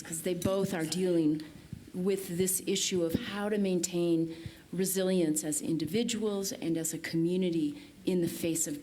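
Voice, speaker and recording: A woman's voice: lowish voice, a little mellow, slight background noise. A woman moderating a formal dialogue and putting questions to the participants.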